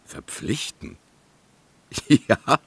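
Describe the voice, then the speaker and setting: A man playing a charming, eager violinist friend in a radio drama, with a gallant, playful, lightly flattering manner. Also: very slow. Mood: curious, teasing.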